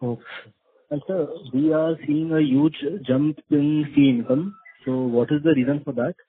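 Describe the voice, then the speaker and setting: An Indian-accented man with a husky voice, an analyst asking deferential but persistent questions on a call. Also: a little high, fairly even intonation, normal speed. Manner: deferential, persistent, often says sir. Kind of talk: spontaneous conference call questions. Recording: muffled, narrow phone line.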